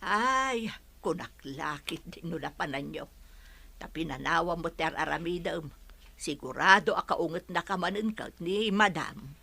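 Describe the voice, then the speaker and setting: Radio play: woman as scolding woman, bright and tense, dry sound. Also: on the low side, expressive, a little slow, vocal fry, breathy edge, no echo, heavily acted. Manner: reproachful, scolding.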